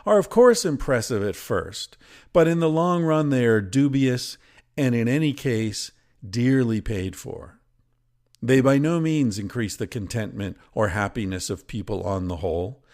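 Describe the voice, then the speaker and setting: A man reading from a book aloud in a deliberate, measured way, with informal commentary of his own. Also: mid-range voice, a little mellow. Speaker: podcast host and reader. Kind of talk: reading a book excerpt aloud with casual asides.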